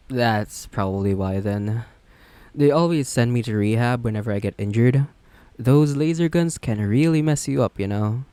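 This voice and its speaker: A man's voice: medium pitch, warm tone, dry recording, lightly acted. A man playing a smug, flirty businessman supervillain, chatty and sardonic, in a romantic roleplay audio drama. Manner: chatty, sardonic, self-assured.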